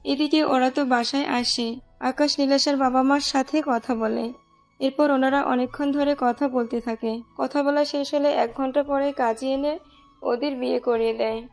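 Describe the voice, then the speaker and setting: Female storyteller for romantic audio fiction, high voice, light music bed. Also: fairly even intonation, normal speed, a little mellow. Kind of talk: scripted romantic story narration.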